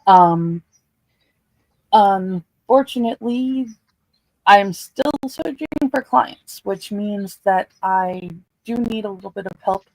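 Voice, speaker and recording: A woman's voice: medium pitch, darkish tone, dry recording, poor audio. A woman co-hosting a livestream, talking in a casual, informal style. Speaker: livestream co-host.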